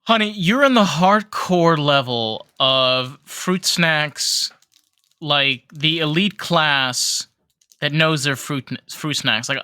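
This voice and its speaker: A podcast co-host with a fairly deep voice, chatting casually and informally and swearing freely. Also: sharp tone, clean tone, no echo, clean studio sound. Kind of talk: casual banter.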